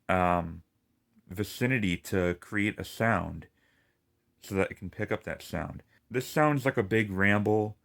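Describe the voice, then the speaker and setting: A man's voice: on the low side, gravelly, a bit bright. A man with a lisp ranting casually and in frustration to his video audience, rambling and swearing as he talks. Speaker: gaming video creator.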